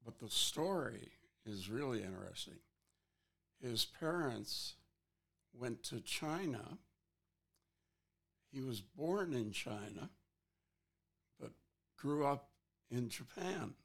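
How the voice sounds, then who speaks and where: Gravelly-voiced man interviewer. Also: medium pitch, slow pace, pauses often. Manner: chatty, relaxed, anecdotal.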